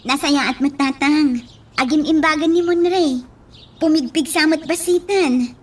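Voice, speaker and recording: A woman's voice: high-pitched, unhurried, a little hiss or hum. A woman acting an affectionate, dutiful grown daughter in a radio drama. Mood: earnest, affectionate.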